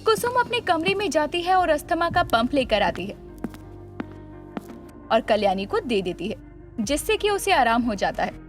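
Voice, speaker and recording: A woman narrating a scripted moral story as its storyteller. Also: sits high.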